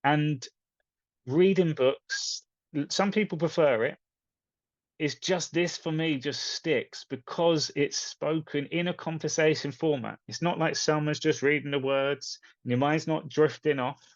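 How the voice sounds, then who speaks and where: A man's voice: fairly high pitch, a little slow, no room sound. Male coach, casual and earnest, emphatic short phrasing; dry, slightly dull sound. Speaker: spiritual coach and video host. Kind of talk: casual book recommendation talk to viewers.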